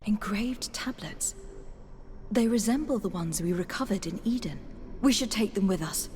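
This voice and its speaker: A woman's voice: mid-range voice, expressive, normal speed, a little mellow, slight background noise, close to an everyday voice. An actress playing a composed, diplomatic noblewoman adventurer in a video game, polite and articulate. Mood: curious, studious. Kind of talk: dramatic video game acting.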